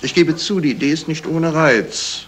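An actor playing a polite, shrewd detective in a radio crime play, talking in a measured way with small hesitations. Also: male voice, on the high side, fairly bright tone, natural voice. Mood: composed. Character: courteous, shrewd male detective explaining a murder. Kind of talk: 1970s German radio crime play acting. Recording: noisy vintage radio play recording.

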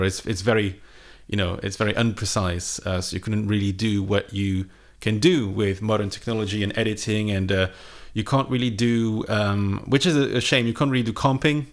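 Low-voiced man chatting casually. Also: fairly even intonation, fairly quick. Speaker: guitarist and music vlogger. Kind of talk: casual unscripted chat to camera. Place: small home studio room indoors. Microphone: microphone on a boom arm, close.

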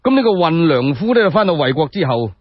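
A man narrates a historical story solo, in a colloquial, expressive radio-drama style. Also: a little high, a little fast, breathy edge, dry recording. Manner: colloquial, expressive, with frequent spoken particles. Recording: dry, dull lo-fi radio-style recording.

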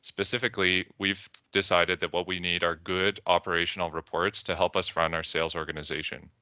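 A man's voice: low voice, flat delivery, fairly quick, sharp tone, clear, resonant tone, dry recording. A young man with a Canadian accent giving a conference presentation over a video call, telling a story and quoting characters along the way. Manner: storytelling with quoted voices. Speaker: conference speaker. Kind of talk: presentation talk.

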